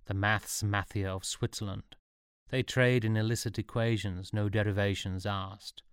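A man's voice: lowish voice, fairly even intonation, moderate pace, clean tone, no echo, clean studio sound, natural voice. A man voicing an imperious, jealous older scientist with a theatrical manner in an audiobook story. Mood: smug, showy.

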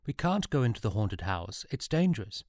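A man voicing a young boy hero, raising his voice higher than his own. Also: very animated, fairly quick, darkish tone, no room sound, clean studio sound. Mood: alarmed. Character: boy hero, anxious and quick.

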